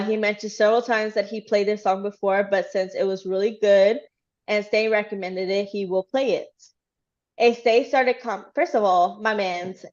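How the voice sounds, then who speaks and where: A woman talks loosely through a fan recap, full of "uh"s and "like"s. She grew up in Texas and speaks at an ordinary, mid-range pitch. The sound is a bit dull and lo-fi. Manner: chatty, rambling, lots of fillers. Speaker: fan channel host. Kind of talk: casual recap of a livestream.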